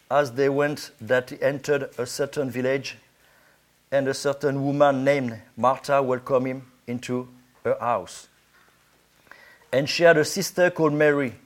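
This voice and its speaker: Slow-speaking man with a French accent, preaching. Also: a little high. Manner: halting, searching for words in English. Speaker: guest preacher. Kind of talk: informal sermon to a congregation.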